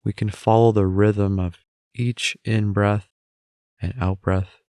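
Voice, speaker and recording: A man with a fairly low voice guides a meditation at a very slow pace. His delivery is calm and gentle, giving simple instructions with room between phrases. The sound is dry and close. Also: no echo.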